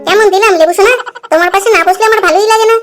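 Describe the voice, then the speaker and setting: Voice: extremely high pitch, rapid-fire, a bit bright, faint music behind. A performer voicing a bossy, sweet-talking schoolgirl in a comedy cartoon. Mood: coy, smug.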